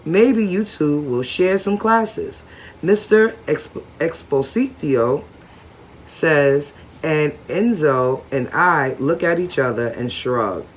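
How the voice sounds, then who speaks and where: An adult reading a novel aloud at home slowly and carefully, with small stumbles. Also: mid-range voice, animated, slightly rough, low-quality recording. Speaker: read-aloud reader and reading coach.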